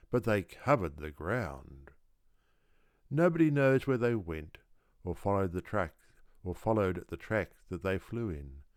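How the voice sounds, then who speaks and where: A man with a very deep voice narrates a children's story. His delivery is slow and deliberate, with rhythmic, theatrical phrasing. The recording is close and very dry. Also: a little mellow, no room sound. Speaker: audiobook reader.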